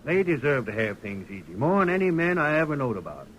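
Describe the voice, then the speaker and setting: Gruff, blunt frontier townsman. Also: medium pitch, very animated, quick pace, fairly bright tone. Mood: fond, exasperated. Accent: American frontier Western. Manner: folksy, blunt, emphatic. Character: gruff, big-hearted, commanding frontier townsman. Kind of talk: 1930s Western radio acting. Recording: noisy 1930s radio recording.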